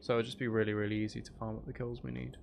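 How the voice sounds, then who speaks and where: A man's voice: on the low side, flat delivery, racing, gravelly, darkish tone, slight background noise. A man giving casual gameplay commentary, rambling and thinking aloud to himself as a gaming video host.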